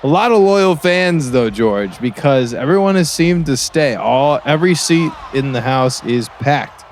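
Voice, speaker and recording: An actor playing an excitable, folksy small-town color commentator at a basketball game, with halting, repetitive phrasing. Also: male voice, medium pitch, slight background noise, lightly acted. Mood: excited. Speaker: sports commentator. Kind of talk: improvised-sounding basketball commentary in an audio drama.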